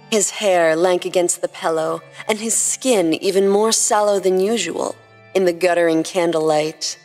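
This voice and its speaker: Woman narrating a story in long reverb. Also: fairly low pitch. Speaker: podcast host and story narrator. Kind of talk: scripted narration of humorous fan fiction. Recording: long reverb, music behind the voice.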